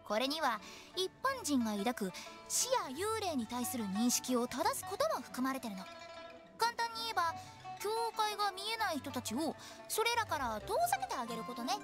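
A woman's voice: sits high, big pitch swings, over music. A woman voicing an eccentric, playful teenage girl funeral director in an anime game, theatrical and teasing. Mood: calm, explanatory. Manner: theatrical, teasing, switching to measured solemn explanation.

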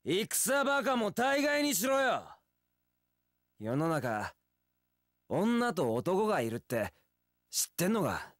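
An actor playing a young wandering warrior in an action game, easygoing, romantic and sincere, with casual, rough speech. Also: male voice, high voice, wildly swooping pitch, slow pace, long pauses, a bit bright, dry recording, clean studio sound, heavily acted. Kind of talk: Japanese action game voice acting.

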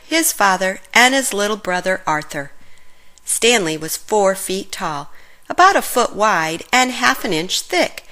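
A woman reading a children's story aloud in an expressive, animated way, with deliberate phrasing for young listeners. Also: medium pitch. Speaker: storybook reader.

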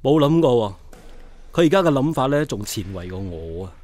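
A man's voice: mid-range voice, sing-song, a little fast, a little mellow, natural voice. An actor playing an easygoing, free-thinking man near forty in a radio drama. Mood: relaxed, assured.

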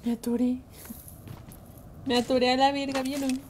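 A woman's voice: sits high, level tone, moderate pace, darkish tone, slight background noise. A young woman chatting playfully with viewers and teammates while streaming a mobile game. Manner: playful, thanks viewers, swears when frustrated. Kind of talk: casual live-stream chat while gaming.

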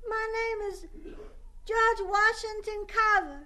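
A woman's voice: extremely high pitch, steady tone, takes it slowly, background noise. A woman playing an earnest, polite young boy with a Southern US accent, pleading respectfully in a radio drama. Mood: timid, earnest.

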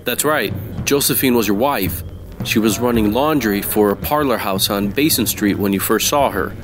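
A hardboiled supernatural detective from a radio play, acted by a man, talks low and tough. He is wry, slangy and unflappable, with music behind him. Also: normal speed, natural voice. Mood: steady, insistent.

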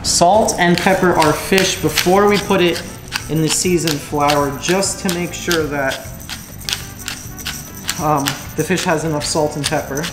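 A man's voice: fairly high pitch, fairly even intonation, unhurried, roomy sound, music in the background, rough recording. A young man hosting his own fishing and cooking video, explaining each step of rigging and cooking in a casual, instructive way. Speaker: fishing channel host. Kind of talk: casual how-to narration. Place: home kitchen and boat deck.

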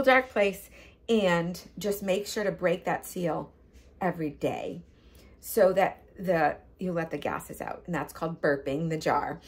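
A woman's voice: fairly low pitch, husky. An older woman hosting a home cooking channel, explaining a kitchen how-to in a casual, chatty way, filmed on a phone in her kitchen. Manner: chatty, gestures with hands, frequent ums. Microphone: phone camera at close range.

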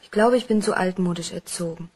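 An actress playing a young, conscience-stricken accomplice, hesitant and anxious, in a crime radio play. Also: female voice, on the low side, fairly quick, background noise, close to an everyday voice. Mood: uneasy.

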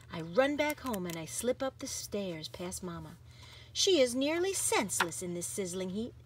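A woman reading a picture book aloud in an expressive, dramatic manner. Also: mid-range voice, slight background noise. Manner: animated, dramatic, varies from hushed to exuberant. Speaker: storybook reader.

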